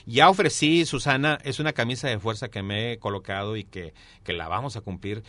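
A man's voice: mid-range voice, a little fast, a bit bright, dry recording. A middle-aged man with a Mexican accent answering interview questions as a political candidate, emphatic and repeating key phrases for weight. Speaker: mayoral candidate and former newspaper columnist being interviewed. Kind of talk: interview answers, campaign pitch.

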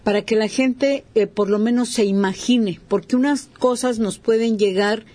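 Mellow woman hosting a talk show. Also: a little high, steady tone, moderate pace. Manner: conversational, frequent "eh" fillers.

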